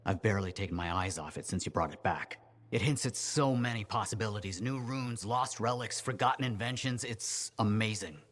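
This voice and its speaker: Sex: man. Figure: an excitable relic scholar. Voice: low. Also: brisk. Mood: eager. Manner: excitable, chatty, gushing. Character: eager male scholar of ancient relics, excitable. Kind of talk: video game cutscene acting.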